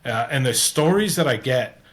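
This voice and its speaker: A man's voice: mid-range voice, lively intonation, normal speed. Earnest rambling Canadian man. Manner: rambling, restarts often, earnest. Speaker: podcast host. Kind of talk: casual interview chat.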